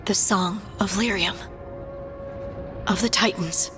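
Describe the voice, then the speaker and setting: A woman's voice: medium pitch, steady tone, slow, music in the background, natural voice. Woman acting a hurt, resolute game heroine. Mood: hurt, angry. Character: aggrieved dwarf woman, Lace, hurt and resolute. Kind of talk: video game cutscene voice acting.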